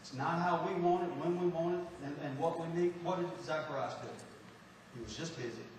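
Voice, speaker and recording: A Texan man preaches in a high voice, folksy and full of restarts, swinging between loud and soft. He is recorded from a distance in a church hall with heavy, lingering echo. A faint hiss sits underneath. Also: fairly quick. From Texas, USA. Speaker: pastor. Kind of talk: folksy sermon. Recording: echoey hall from far off, faint noise.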